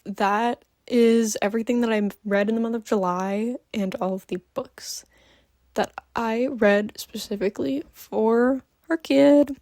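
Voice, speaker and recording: A woman's voice: a little high, measured pace, a little mellow, dry recording. Sleepy-voiced woman rambling about books she loved, lots of ums, dead dry room. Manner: gushing, filler-heavy, many ums. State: just woke up. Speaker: book vlogger. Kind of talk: casual book review chat.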